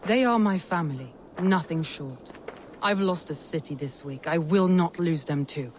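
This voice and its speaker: A woman's voice: sits low, normal speed, a little mellow, slight background noise, close to an everyday voice. A woman voicing a proud, resolute Viking lord and war leader in a video game, commanding, guarded and earnest. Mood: earnest, defiant. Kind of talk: video game dramatic acting. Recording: music behind the voice.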